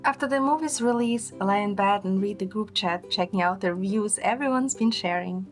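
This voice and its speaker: A woman playing a gentle young heroine, narrating her romance in the first person as scripted audio drama. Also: mid-range voice, brisk, faint music behind, close to an everyday voice.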